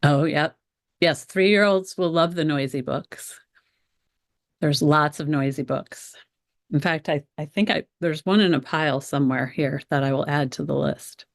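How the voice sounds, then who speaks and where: A woman's voice: sits low, measured pace, no room sound, clean studio sound. A middle-aged American woman teacher presenting an educational webinar in a conversational, explanatory way, speaking directly to her viewers.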